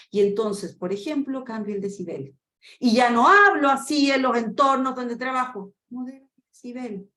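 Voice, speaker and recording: Chilean woman, 50s, fast animated webinar teacher, echoey room with a faint hiss. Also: fairly high pitch. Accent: Chilean Spanish. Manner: quick, animated, acts out dialogues with rhetorical questions. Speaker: trainer. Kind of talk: webinar lesson.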